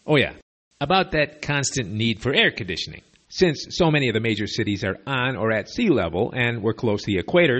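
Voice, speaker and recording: A man's voice: medium pitch, a bit bright. A man from the United States with a slight lisp, giving opinionated, digressive commentary as a podcast host on makeshift equipment away from his usual studio. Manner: sardonic, digressive, conversational. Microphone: makeshift borrowed equipment.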